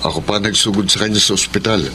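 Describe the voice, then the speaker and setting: An actor with a Filipino accent playing a concerned, excitable uncle in a radio drama. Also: male voice, on the low side, fast talker, noisy background, close to an everyday voice. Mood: grave.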